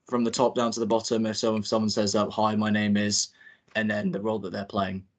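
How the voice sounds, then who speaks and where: Young male director-narrator, informal and halting, rapid delivery, slightly low pitch. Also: level tone. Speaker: director hosting a table read and reading narration. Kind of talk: informal hosting and script narration.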